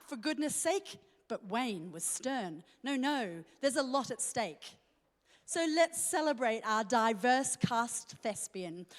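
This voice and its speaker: A woman giving a playful, stagey welcome speech in rhyme as producer. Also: on the high side. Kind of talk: scripted rhyming welcome speech. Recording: reverberant hall.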